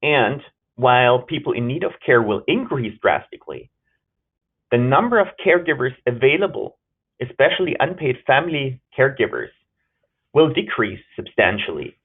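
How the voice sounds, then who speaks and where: A man from Germany with a German accent giving an earnest webinar research presentation, pausing on fillers and correcting himself now and then. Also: medium pitch, sing-song, slightly rough. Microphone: video call. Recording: tinny phone-like line.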